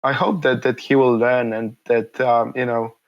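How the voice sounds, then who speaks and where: A man in his thirties with a Polish accent answers interview questions in a halting way, full of fillers and restarts. His voice sits at a middling pitch for a man. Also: steady tone, muffled recording. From Poland. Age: 30s. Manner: hesitant, many fillers and repeated words. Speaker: interview guest. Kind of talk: casual interview conversation.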